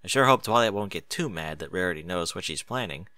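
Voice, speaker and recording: A man's voice: low voice, lively intonation, quick pace, sharp tone, no echo, pitched below the performer's own voice. A man voicing an earnest, sheepish young boy dragon with a casual manner in a storybook reading. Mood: resigned.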